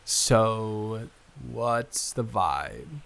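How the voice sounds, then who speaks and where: A man playing an awkward, earnest young man in an improvised intimate roleplay, hesitant, rambling and quick to apologize. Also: lowish voice, animated, vocal fry, a bit bright, clean tone, full character voice. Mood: awkward, chatty. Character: awkward, earnest, smitten young man, best friend's brother.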